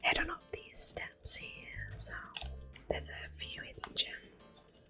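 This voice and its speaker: A woman giving soft-spoken, often whispered ASMR commentary as she plays through a video game. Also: takes it slowly. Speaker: host of an ASMR gameplay series. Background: game music.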